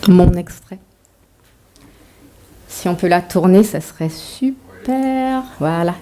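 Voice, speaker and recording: A woman's voice: lowish voice, expressive, slow, dark tone, a little hiss or hum. A woman author giving a talk to an audience, mixing a prepared lecture with playful, self-mocking asides.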